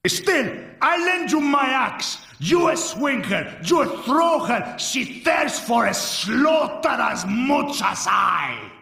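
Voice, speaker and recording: An actor playing a boastful, bloodthirsty barbarian warrior ghost in a game, with a gravelly, booming voice. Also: male voice, sing-song, takes it slowly, hardly any pauses, sharp tone, slight background noise, full character voice. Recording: roomy echo from far off.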